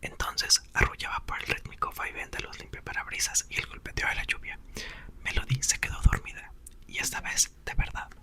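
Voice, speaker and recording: A young man reads a novel entirely in a hushed ASMR whisper. The breathy whisper has a very bright, crisp edge. Between passages he slips into casual, playful comments to his listeners. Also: brisk. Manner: soft whispered reading with playful chatty asides. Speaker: ASMR host reading aloud. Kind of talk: whispered ASMR book reading.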